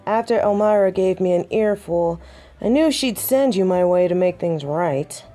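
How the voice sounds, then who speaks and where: A woman's voice: on the low side, moderate pace, dark tone, soft background music, close to an everyday voice. A woman playing a proud, prickly, tipsy werewolf second-in-command in an audio roleplay drama, with a dry, sarcastic drawl. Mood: grudging, sardonic.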